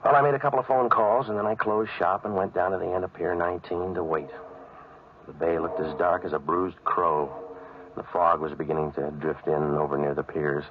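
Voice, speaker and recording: A hardboiled American waterfront tough guy from a vintage radio noir. His voice sits low, with clipped, sardonic delivery and world-weary wisecracks. The old broadcast sounds dull, with some noise underneath. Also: steady tone, a little fast. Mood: brooding narration. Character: cynical wisecracking hardboiled waterfront odd-job man, narrating. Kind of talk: 1940s radio noir acting and narration. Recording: muffled, noisy old radio recording.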